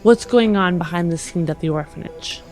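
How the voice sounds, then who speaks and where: A woman playing an earnest, protective technomancer in a science fiction tabletop game, speaking with measured, hesitant pauses. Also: fairly low pitch, darkish tone, faint music behind, close to an everyday voice. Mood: coaxing, evasive. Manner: measured, hesitant pauses, pleading yet firm.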